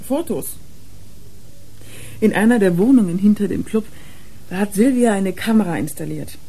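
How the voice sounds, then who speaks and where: A woman's voice: mid-range voice, animated, moderate pace, dark tone, slightly rough. A woman acting a worn, defiant young drug user who confides in a German radio crime drama. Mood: frank, remembering.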